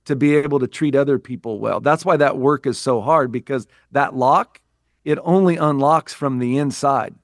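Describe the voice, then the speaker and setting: A man's voice: mid-range voice, moderate pace, no room sound, studio quality. A man from the United States, a business coach and podcast guest, speaking earnestly and reflectively with frequent restarts. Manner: earnest, reflective, talks in restarts and repeated phrases. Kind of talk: conversational interview.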